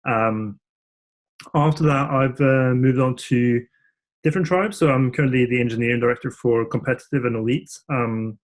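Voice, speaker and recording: Norwegian-raised man, halting thoughtful talk. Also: medium pitch. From Norway. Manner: relaxed, thoughtful, many ums and restarts. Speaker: engineering director, guest. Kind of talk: podcast interview.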